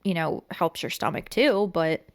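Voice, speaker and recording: A woman's voice: fairly low pitch, lively intonation, measured pace, dry recording, studio quality. Chatty congested woman co-hosting a podcast. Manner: chatty, laughs often, frank. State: congested from a sinus infection. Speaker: podcast co-host. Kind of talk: casual chat. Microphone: studio podcast mic.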